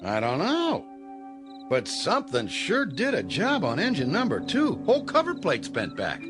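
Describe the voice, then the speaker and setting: An actor playing a gruff, easygoing aircraft ground crew boss in a radio drama, folksy and matter-of-fact. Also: male voice, a little high, wildly swooping pitch, moderate pace. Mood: puzzled. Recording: music under the dialogue.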